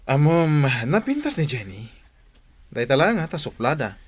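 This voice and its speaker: A man playing a troubled young man in a radio comedy drama, confiding earnestly with sudden loud bursts. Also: a little high, very animated, moderate pace, slight background noise. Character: young man torn over an arranged engagement.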